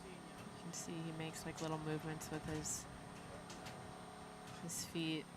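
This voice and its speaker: A soft-spoken woman co-commentating a live freediving event, chatty and well informed. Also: low-pitched, monotone, a little slow. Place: outdoors at a seaside dive event. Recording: noisy outdoor live stream.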